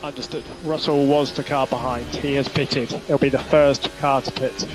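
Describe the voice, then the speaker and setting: A man's voice: medium pitch, steady tone, normal speed, music in the background, rough recording. A man working as a race engineer, giving procedural, repeated instructions to a driver over team radio.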